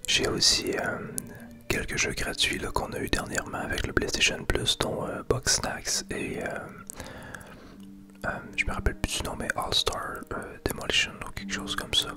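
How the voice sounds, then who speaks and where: Whispering Québécois man, crisp and breathy. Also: moderate pace. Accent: Québécois French. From Quebec. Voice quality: soft unvoiced whisper. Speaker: video game streamer making ASMR gameplay videos. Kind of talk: ASMR gameplay commentary.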